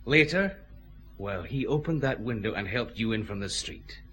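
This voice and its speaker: An actor playing a middle-aged Scottish chief inspector in a radio detective drama, brisk, pressing and confident, with dry humour. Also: male voice, lowish voice, animated, a bit bright, a little hiss or hum. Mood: forceful, confronting.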